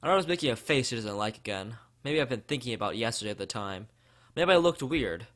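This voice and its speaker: A man's voice: mid-range voice, lively intonation, fast talker, a bit bright. A man from the United States, a let's play streamer, reading a visual novel aloud.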